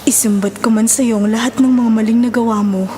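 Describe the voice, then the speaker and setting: A Filipino woman playing a gentle, forgiving middle-aged mother and wife in a melodrama, speaking earnestly and tenderly. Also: on the high side, steady tone, brisk, slight background noise, close to an everyday voice. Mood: forgiving, consoling. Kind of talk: melodramatic drama acting. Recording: dramatic music bed.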